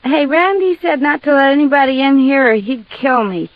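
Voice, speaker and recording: A woman's voice: sits high, normal speed, no room sound. An actress playing a gullible, tipsy gangster's girlfriend in a 1950s radio detective drama, slangy and easily flustered. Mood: chatty, coy. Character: tipsy, gullible blonde moll, frightened of her boyfriend. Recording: dull, band-limited old radio recording.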